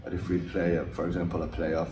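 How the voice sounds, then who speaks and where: A young man from Finland, a hockey forward, answering interview questions in a relaxed, hesitant way with many fillers and restarts. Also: low voice, fast talker. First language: English and Finnish. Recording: roomy, distant mic, noisy.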